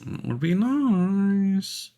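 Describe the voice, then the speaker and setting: A man's voice: high-pitched, very animated, drawn-out words, dark tone. Man casually commentating a video game. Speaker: streamer playing a video game. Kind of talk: casual let's play commentary.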